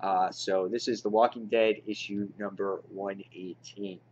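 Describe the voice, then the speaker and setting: A man's voice: lowish voice, level tone, a little slow, darkish tone, a little hiss or hum. A man hosting a casual, unscripted review vlog, talking to his viewers with an enthusiastic fan's manner. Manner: enthusiastic fan talk, addresses viewers directly. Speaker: comic book reviewer, channel host. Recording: dull, lo-fi home recording.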